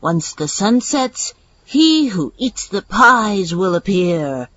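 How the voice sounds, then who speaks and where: A woman playing a creepy, bossy little boy cult leader in a comedic audio drama, ominous and bratty, with a husky voice. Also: mid-range voice, sing-song, a bit bright, dull audio, heavily acted. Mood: ominous, commanding. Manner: ominous, slow pronouncements with bratty snaps.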